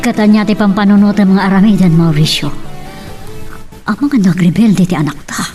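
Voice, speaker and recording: A woman's voice: mid-range voice, moderate pace, recorded from a distance, over music, close to an everyday voice. A woman playing a concerned middle-aged mother in an Ilocano radio drama, with a Filipino Ilocano accent. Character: concerned middle-aged mother defending her child's freedom.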